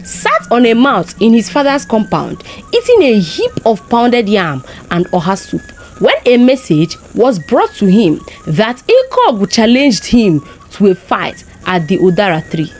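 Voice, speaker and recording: A woman with a Nigerian accent telling a folktale in an expressive narrating style. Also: medium pitch, a little slow, soft background music, rough recording. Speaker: storyteller.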